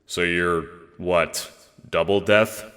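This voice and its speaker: A man's voice: deep voice, steady tone, takes it slowly, fairly bright tone, long reverb tail. A man playing an awkward, nervous human newcomer to the afterlife in an audio drama, speaking hesitantly. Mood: nervous, dazed. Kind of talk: audio drama character acting.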